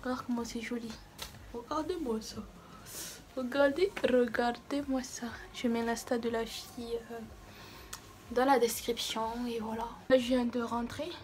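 A woman's voice: on the high side, fairly even intonation, normal speed, darkish tone. An eighteen-year-old woman chatting casually to camera in an effusive way, repeating words for emphasis. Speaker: vlogger. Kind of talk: casual birthday vlog chat to camera. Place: small bedroom, some clips out in the street. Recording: noisy amateur camera audio.

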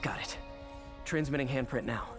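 An actor playing a young, quippy, curious masked superhero in a video game, with an American accent and casual delivery. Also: male voice, medium pitch, moderate pace, over music, close to an everyday voice. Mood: focused, curious. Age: young adult. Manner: wisecracking, casual.

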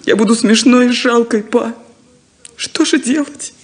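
An actress playing a sad, resigned unmarried farm daughter, wistful and deliberate, in a 1970s radio play. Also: female voice, a little high, natural voice. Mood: resigned, bitter.

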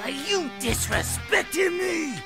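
An actor playing a manic, aggressive monster eager to destroy, with a raspy voice, in a video game. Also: male voice, very high voice, big pitch swings, slow, exaggerated voice. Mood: threatening. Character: wild brawling male monster, eager to destroy. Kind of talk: video game cutscene acting. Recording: loud music under the voice.

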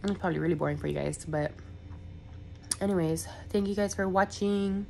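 A woman's voice: lowish voice, a little fast, creaky, darkish tone, slight background noise. A young woman, congested from a cold, rambling casually in a vlog with lots of fillers. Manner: rambling, filler-heavy, chatty. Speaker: vlogger.

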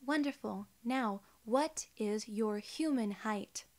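A soft-spoken female alien gatekeeper, played by a young woman, with a slightly high voice. She is formal, measured and reassuring, in the style of close-up ASMR role-play. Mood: polite, reassuring. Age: 20s.

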